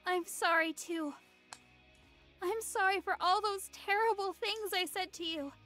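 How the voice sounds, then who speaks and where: A woman playing a vulnerable, hesitant, girlish young woman with halting delivery in a video game cutscene. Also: very high voice, pushed and bright, exaggerated voice. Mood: tearful remorse. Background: music.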